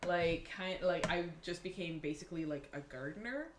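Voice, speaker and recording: Lower-voiced woman in an echoey room. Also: moderate pace, a crowd in the background. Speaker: tabletop game player. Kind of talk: casual chat. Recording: echoey room, faint noise.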